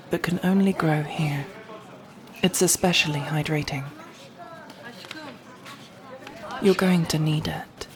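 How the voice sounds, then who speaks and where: A woman playing a regal, resentful, dutiful sorceress matriarch in a scripted ASMR roleplay, speaking in a formal, measured, imperious way. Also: deep voice. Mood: curt, caring.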